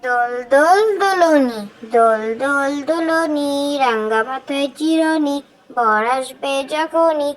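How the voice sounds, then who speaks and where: A high, childlike voice reciting nursery rhymes for children in a sing-song way, line by line. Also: slow.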